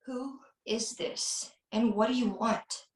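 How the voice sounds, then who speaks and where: A woman playing a frightened film star in a slasher table read, halting and pleading, over a video call. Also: a little high. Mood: alarmed. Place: indoor room on a video call. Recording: roomy, echoing video call audio.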